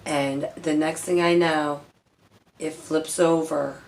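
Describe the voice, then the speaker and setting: A woman's voice: low-pitched, steady tone, slow, darkish tone. A woman telling personal stories casually as a vlog host, in a candid, rambling, emphatic way with some swearing. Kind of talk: casual personal storytelling to camera.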